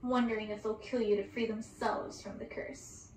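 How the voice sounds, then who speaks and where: Spiteful storybook witch, played by a female reader: high, shrill, taunting; distant mic. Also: flat delivery, moderate pace, a little mellow, close to an everyday voice. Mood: gloating. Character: cackling, mocking witch sisters, spiteful and possessive. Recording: distant roomy mic with background hiss.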